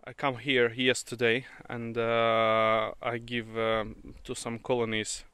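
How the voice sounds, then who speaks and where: A non-native English-speaking man, a beekeeper, demonstrating outdoors in a grassy field and talking haltingly with many fillers. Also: mid-range voice, completely flat delivery, pushed and bright, clear, resonant tone. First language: not English. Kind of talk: hands-on demonstration. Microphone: handheld camera mic. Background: bees buzzing.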